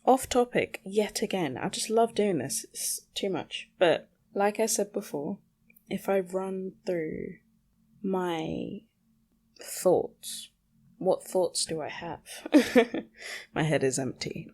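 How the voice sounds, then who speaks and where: Blunt, creaky-voiced young podcaster rambling casually. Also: medium pitch, takes it slowly, a little mellow. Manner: blunt, sweary, self-questioning. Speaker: podcast host. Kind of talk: casual solo rambling monologue.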